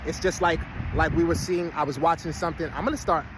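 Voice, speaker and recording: A man's voice: a little high, fairly even intonation, fast. A man about to turn forty, talking casually to his followers in a rambling, streetwise way with preachy asides. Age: 30s. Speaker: vlogger. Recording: outdoor street noise, vehicles passing.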